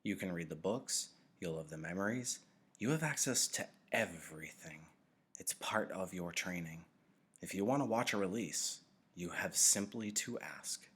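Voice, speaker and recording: A solemn old mentor acted by a man reading aloud in a storybook. His voice is low, and he speaks in a grave, patient, measured way that commands attention. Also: animated, a bit bright, slightly rough, exaggerated voice. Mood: grave, instructive.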